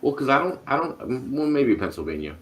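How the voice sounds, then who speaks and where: A man's voice: mid-range voice, sing-song, a little fast, a bit bright, a little hiss or hum. A man from the Chicago area hosting a casual live stream, laid-back, greeting viewers and reading comments aloud.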